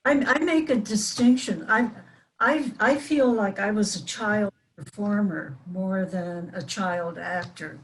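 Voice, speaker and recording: Older woman, slow and reflective. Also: medium pitch, low-quality recording. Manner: measured, reflective. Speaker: panel guest, former child radio performer.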